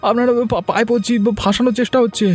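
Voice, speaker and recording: A man voicing a beaten, pleading villager in a cartoon, with a high, whining wail. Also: steady tone, racing, faint music behind. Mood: pleading, pained. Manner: begging, wailing. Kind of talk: cartoon voice acting.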